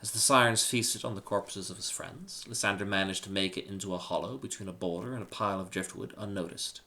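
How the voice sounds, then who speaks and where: A man's voice: deep voice, fairly quick, sharp tone. A man reading a humorous short story aloud in a dramatic scripted narration. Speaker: story reader.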